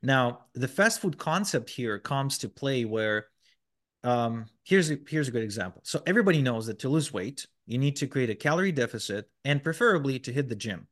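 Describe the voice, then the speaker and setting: Male guest, mid-pitched, chatty explainer, loose delivery full of ums and restarts. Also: expressive, moderate pace, a bit bright. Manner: explanatory, many fillers and restarts. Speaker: podcast guest. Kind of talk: conversational interview answers.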